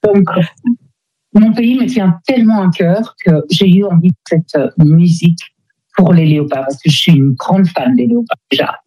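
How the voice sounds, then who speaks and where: A woman's voice: fairly low pitch, normal speed, warm tone, husky, no room sound, dull audio. A woman speaking as a guest in a conversational radio interview, hesitantly searching for her words. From Democratic Republic of the Congo. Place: radio studio.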